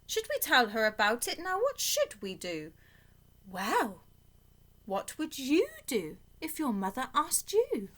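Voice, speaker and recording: A woman in her thirties reads a children's storybook aloud. Her voice is high and bright, and she leans into the rhythm with emphatic, very lively intonation. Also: slow. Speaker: storybook reader. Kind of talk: expressive children's story reading. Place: small bedroom, indoors. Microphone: webcam or laptop at arm's length.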